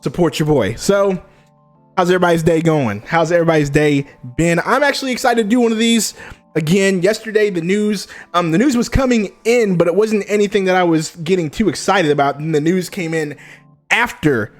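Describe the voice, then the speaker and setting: Young male streamer, upbeat and chatty, slightly high voice, desk mic, faint music underneath. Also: a little fast. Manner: hyped, chatty, greets chat by name. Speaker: gaming news streamer. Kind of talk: casual live-stream chat. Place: home streaming setup.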